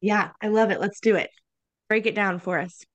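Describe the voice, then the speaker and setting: A woman's voice: medium pitch, fairly even intonation, moderate pace, muffled recording. A woman hosting a podcast, upbeat and encouraging, with frequent ums. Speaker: podcast host. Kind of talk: casual interview hosting.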